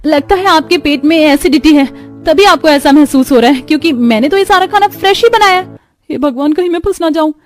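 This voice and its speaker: Cartoon young daughter-in-law, female performer: high-pitched, fretful, pleading, light music underneath. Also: brisk, close to an everyday voice. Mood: nervous, defensive. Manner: fretful, pleading, talks to herself. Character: anxious young daughter-in-law hiding a cooking secret. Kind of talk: Hindi animated moral story dialogue.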